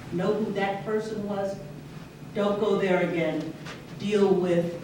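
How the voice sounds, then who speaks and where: A woman therapist giving an earnest, emphatic talk at a campus session, often restarting her sentences. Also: mid-range voice. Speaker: clinical therapist speaker. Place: university lecture room. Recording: echoey room, far from mic, faint hiss.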